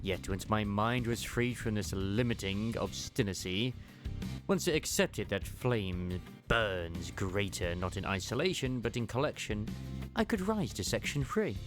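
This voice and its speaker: Male actor as wistful martial archivist, game monologue, low pitch, measured, archaic formal diction, reserved, contemplative, clear background music. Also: lively intonation. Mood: pensive, self-reproachful. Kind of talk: fan dub of game character monologue. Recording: music under the voice.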